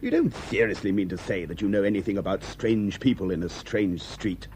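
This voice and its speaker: Curious gentleman sleuth in radio drama: very varied intonation, questioning, slight noise behind. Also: fairly low pitch, sing-song, fairly quick. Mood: curious, doubtful. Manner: questioning, politely sceptical. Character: polite, inquisitive amateur sleuth, a man. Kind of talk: British radio drama dialogue.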